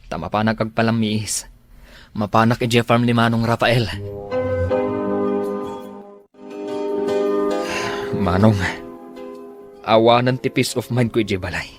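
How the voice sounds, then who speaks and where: An actor with a Filipino accent playing an exasperated, weary husband in a radio drama. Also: male voice, mid-range voice, close to an everyday voice. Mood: weary, complaining. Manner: weary, complaining. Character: exasperated husband worn down by jealous wife.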